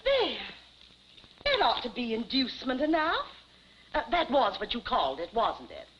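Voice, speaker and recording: A woman's voice: on the high side, wildly swooping pitch, normal speed, fairly bright tone. Fluttery, genteel, naive lady, lilting. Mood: excited, hospitable. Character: dainty, naive, kindly society lady, fluttery and polite. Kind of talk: 1950s TV comedy acting. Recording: noisy, muffled 1950s television soundtrack.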